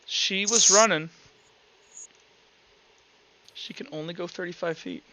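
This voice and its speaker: A man running a tabletop roleplaying game, improvising his narration in a halting way and repeating words as he thinks aloud. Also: high-pitched, bright, forceful tone. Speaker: game master.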